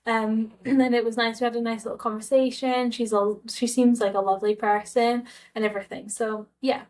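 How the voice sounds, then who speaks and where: A woman's voice: fairly high pitch, little pitch movement, a little fast, a little mellow. A woman from Scotland with a Scottish accent, telling a personal story in a hesitant, rambling, conversational way, like a casual vlog. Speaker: travel vlogger.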